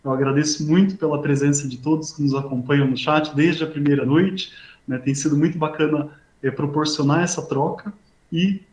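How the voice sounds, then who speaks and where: A man's voice: a little high, fairly even intonation, normal speed, darkish tone. A man with a Brazilian accent, a professor courteously hosting and introducing an academic event in semi-formal speech. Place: indoors, online video call. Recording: roomy, echoing voice on online stream.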